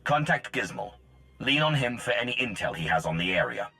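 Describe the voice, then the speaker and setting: An actor playing a stern, driven mercenary fighter in a video game, terse and commanding. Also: male voice, lowish voice, big pitch swings, brisk, sharp tone, soft background music, heavily acted. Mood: businesslike, commanding. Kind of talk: video game cutscene acting.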